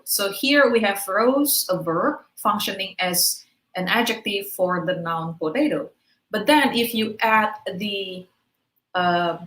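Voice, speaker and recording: A woman gives a patient webinar lecture to teachers, walking through examples one by one and checking her listeners follow along. Her voice sits at an ordinary middle pitch. The sound carries a noticeable room echo. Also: a bit bright. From Indonesia. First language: Indonesian. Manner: explanatory, checks in with "right?". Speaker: webinar speaker.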